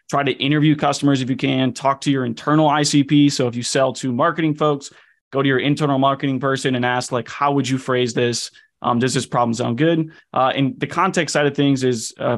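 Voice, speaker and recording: A man explaining a cold email framework in a relaxed, casual way, with frequent fillers. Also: medium pitch. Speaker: guest sales expert.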